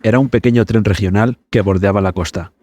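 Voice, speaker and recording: A man hosting a podcast, narrating a scripted story in a measured, suspenseful manner. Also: fairly low pitch, warm tone.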